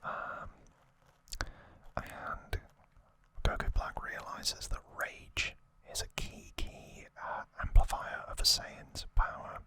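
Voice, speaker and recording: Slow, breathy ASMR whisper. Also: poor audio. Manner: soft, rambling, unscripted commentary with opinions. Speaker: ASMR host. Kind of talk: whispered ASMR trivia recap.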